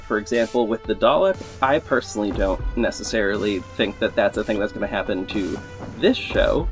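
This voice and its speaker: A man hosting a podcast gives a casual, unscripted update to his listeners in an enthusiastic, informal, somewhat rambling and halting way. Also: medium pitch. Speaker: podcast host and creator. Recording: music under the voice. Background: music.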